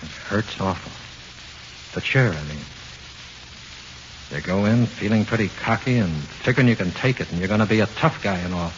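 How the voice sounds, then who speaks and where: An actor playing the ghost of an executed gangster in 1940s radio horror, talking tough, streetwise slang with cool menace. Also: male voice, fairly low pitch, moderate pace, pauses often, lightly acted. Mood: grim, persuasive. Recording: muffled hissy 1940s radio recording.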